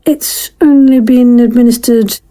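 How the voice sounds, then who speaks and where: Audio drama scientist, played by a woman: clinical female doctor, mellow timbre, measured formal delivery. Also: on the high side, steady tone, lightly acted. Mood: composed, probing. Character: composed, clinical woman doctor proposing experiments.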